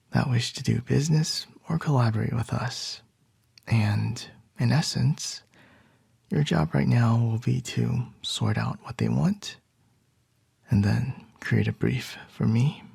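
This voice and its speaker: A man acting as a composed male CEO boss in an ASMR boyfriend roleplay, murmuring softly with a breathy, whispery voice and a gently wry manner. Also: mid-range voice, no echo, clean studio sound, full character voice.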